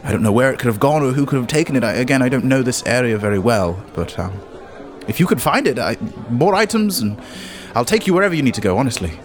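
A man voicing a rattled old goblin trader in a tabletop game, halting, earnest and fretful. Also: mid-range voice, very animated, soft background music, lightly acted. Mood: anxious, earnest.

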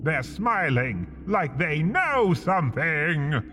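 Male storyteller, slightly high voice, dry humor, unhurried, comic second-person narration. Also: big pitch swings, bright, forceful tone, in a large hall, faint music behind. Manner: slow, wry second-person storytelling with big swings in pitch. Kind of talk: scripted comedic narration.

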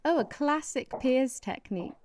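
A woman's voice: high voice, animated, normal speed, a little mellow, slight background noise. A woman with a British accent playing a scheming, sardonic political manipulator in a satirical radio play. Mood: brisk, plotting. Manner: sarcastic, cutting, coaxing.

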